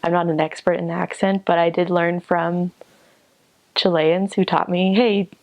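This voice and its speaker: A woman's voice: on the low side, little pitch movement, normal speed, dry recording, lo-fi sound. An American woman giving casual advice in a tentative, hedging way. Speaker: former missionary.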